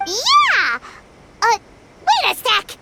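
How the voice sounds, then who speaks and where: A woman voicing a tiny, excitable childlike fairy companion in an anime game, perky and blunt, with exclamations. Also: very high voice, extremely slow, noisy background, heavily acted. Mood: excited, then indignant. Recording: music behind the voice.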